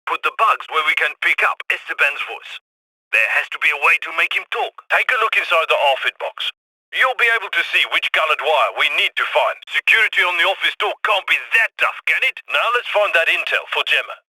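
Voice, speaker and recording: Crisp heist planner. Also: male voice, a little high, lively intonation, brisk, sharp tone, no echo, full character voice, poor audio. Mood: commanding. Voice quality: crisp commanding instructions. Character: brisk male heist planner on comms, British. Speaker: mission handler. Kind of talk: video game voice lines.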